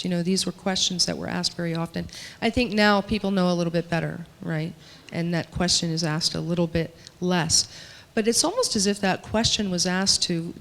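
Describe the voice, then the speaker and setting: A woman speaking at a panel discussion, voicing for a panelist in a measured, earnest way with some emphatic stretches. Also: lowish voice. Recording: long reverb of a large hall.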